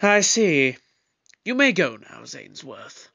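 Brisk, authoritative space detective in an audio drama. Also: mid-range voice, very animated, creaky, lo-fi sound, very noisy, exaggerated voice. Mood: brisk, probing.

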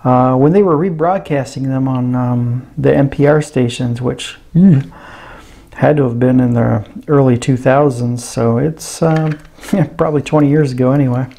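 A man's voice: medium pitch, moderate pace, creaky, mellow. A middle-aged man giving a casual, easygoing hands-on gadget review at a desk indoors.

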